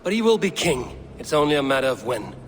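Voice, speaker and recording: An actor playing a confident, taunting Viking raider in a video game, with a sardonic, firm manner. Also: male voice, a little high, sing-song, quick pace. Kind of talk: dramatic video game acting. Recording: faint ambient noise.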